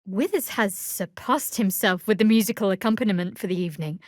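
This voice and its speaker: A woman playing a guarded, sardonic young cleric of a dark goddess in a video game, speaking in a dry, measured, wry way. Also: mid-range voice, no echo, close to an everyday voice. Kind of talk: video game character voice acting.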